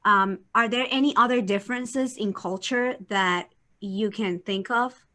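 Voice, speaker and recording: A woman's voice: mid-range voice, unhurried, sharp tone. A woman from Venezuela, hosting and asking friendly follow-up questions. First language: Spanish. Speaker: host. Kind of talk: casual interview.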